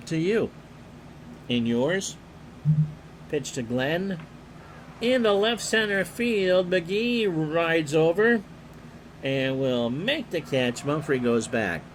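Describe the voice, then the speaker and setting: A man calling a replayed baseball game play-by-play in a calm, unhurried way, reading player stats and chatting with viewers. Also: a little high, slight background noise, poor audio. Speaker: play-by-play announcer and streamer.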